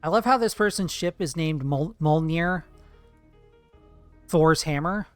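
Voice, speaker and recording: Streamer, male, higher-pitched, dry close sound: loose, swearing, teasing chat while he plays. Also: measured pace, clear, resonant tone, no room sound, soft background music. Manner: chatty, profane, playful teasing of chat. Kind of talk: casual live-stream chat while gaming.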